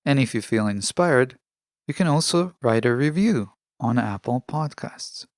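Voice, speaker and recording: A man presenting a scripted self-help podcast monologue in a measured, instructional way. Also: medium pitch, lively intonation, dry recording, clean studio sound. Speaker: podcast host.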